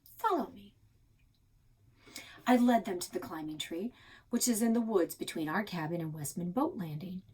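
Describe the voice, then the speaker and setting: A woman reading a children's book aloud in an expressive storytelling style, with a slightly husky voice. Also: fairly low pitch, fairly quick. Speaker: library read-aloud reader.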